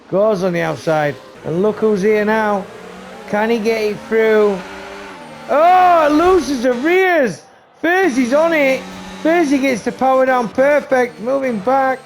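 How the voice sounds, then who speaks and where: A man calls a sim race live, talking straight to his viewers with a raspy, fairly high voice that is going hoarse. He jumps into sudden excited bursts when the racing gets close. Engine noise from the race plays loudly under him the whole time. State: losing his voice. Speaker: race commentator. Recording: noisy stream with engine sound. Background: race car engine noise.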